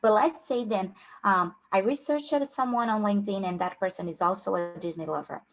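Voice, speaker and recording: A woman's voice: mid-range voice, a little fast. A young woman with a strong Brazilian Portuguese accent giving a casual online workshop on job interviews, with many fillers and restarts. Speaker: career coach.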